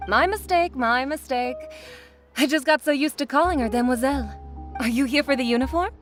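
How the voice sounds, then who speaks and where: A woman's voice: high-pitched, fairly quick, fairly bright tone. An actress playing a chatty, deferential female shopkeeper in a video game, polite and effusive. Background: music.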